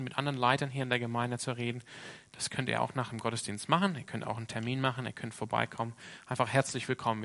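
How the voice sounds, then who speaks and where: Gravelly Australian man preaching, bright voice. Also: mid-range voice. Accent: Australian English. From Sydney, Australia. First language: English. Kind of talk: informal Sunday sermon.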